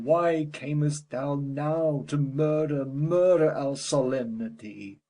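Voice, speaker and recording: A man's voice: fairly high pitch, a little mellow, full character voice. A man playing a grieving older nobleman, passionate and solemn, in declamatory Shakespeare audiobook style. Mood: anguished outcry. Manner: declamatory, weighty phrasing. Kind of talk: dramatic Shakespeare audiobook reading.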